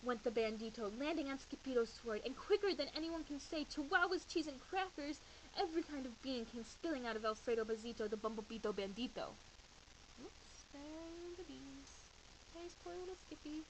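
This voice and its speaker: A woman reading a children's bedtime story aloud in an expressive, animated way with dramatic emphasis. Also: high-pitched, brisk, rough recording. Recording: faint hiss behind voice.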